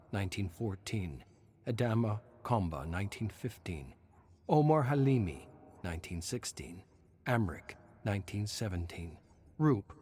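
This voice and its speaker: A man narrating scripted horror fiction in a dramatic, deliberate storytelling manner. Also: lowish voice, a little hiss or hum.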